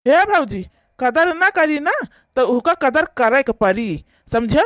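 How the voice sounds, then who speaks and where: A man's voice: very high voice, expressive, slow pace, fairly bright tone, dry recording, clean studio sound, exaggerated voice. A man voicing an indignant young brother in a village family cartoon, arguing and scolding with pointed questions to protect his sister. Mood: defiant. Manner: argumentative, scolding, rhetorical questions. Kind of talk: rural family cartoon dialogue.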